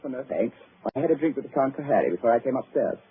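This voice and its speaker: An actor playing a brash, skeptical and stubbornly brave young lord in an old-time radio drama. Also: male voice, medium pitch, very animated, very fast. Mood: uneasy, stubborn. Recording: muffled, faintly noisy vintage radio recording.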